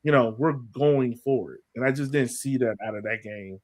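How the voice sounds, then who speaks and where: A man's voice: mid-range voice, normal speed, a little mellow. A man hosting a sports podcast, giving opinions in casual conversation and tossing in rhetorical questions. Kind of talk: casual sports chat, opinionated game breakdown.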